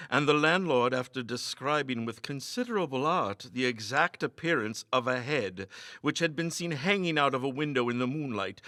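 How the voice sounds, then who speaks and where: Man reading a ghost story, bright forceful voice. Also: medium pitch, no echo, studio quality. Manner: theatrical, expressive delivery with emphatic dialogue. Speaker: story reader.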